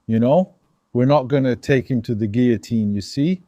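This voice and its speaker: A man's voice: mid-range voice, moderate pace, no echo, highs cut off. A man from Algeria giving an emphatic opinion monologue to his viewers, acting out dialogues. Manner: animated, emphatic, acts out dialogues. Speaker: commentator.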